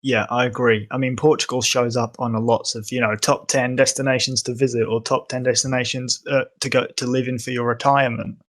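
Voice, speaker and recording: A man's voice: medium pitch, flat delivery, brisk, few pauses, a bit bright. A young man from England, a podcast guest, talking casually and hesitantly with many ums and restarts. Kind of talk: casual conversation.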